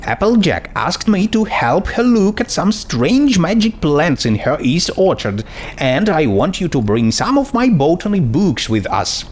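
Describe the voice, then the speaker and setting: A smug female pony mage from a fantasy storybook, acted out by a man, pitched well up and swooping as she explains herself. She is assured and dismissive, taking her time over the words. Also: sing-song, a little slow.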